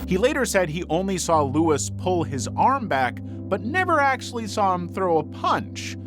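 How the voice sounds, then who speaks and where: A male narrator delivers scripted true-crime storytelling in a fairly high voice. His pitch moves in a lively way through the measured documentary script, with clear music playing behind him.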